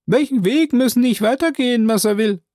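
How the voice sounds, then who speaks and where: A reader voicing a frightened male servant who shouts down from a tree in broken, pleading speech. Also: mid-range voice, fairly quick, no echo, clean studio sound, voice pushed up, natural voice. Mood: eager. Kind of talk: audiobook character voice.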